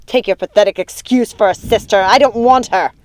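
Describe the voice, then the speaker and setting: A woman's voice: on the low side, expressive, normal speed, darkish tone, a little hiss or hum, natural voice. Imperious witch mother, played by a female narrator: scornful, possessive, commanding, storybook villainess. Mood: furious, commanding. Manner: scornful, commanding, theatrical delivery. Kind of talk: audiobook character acting.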